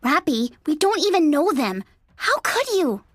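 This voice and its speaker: A woman playing a brash, cheeky young female android soldier in a video game, sceptical but easygoing, with a sassy, expressive delivery. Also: high-pitched, measured pace, no room sound, studio quality. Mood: suspicious. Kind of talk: English video game voice acting.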